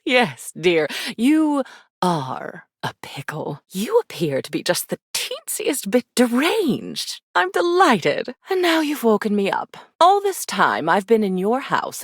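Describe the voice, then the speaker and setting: A sardonic, sinister female embodiment of old memories from a video game. Her voice sits low and swings expressively through languid, arch phrases. She is theatrical and mock-sweet with an edge of scorn, recorded very dry. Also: gravelly, no room sound, heavily acted. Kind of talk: scripted video game character voice acting.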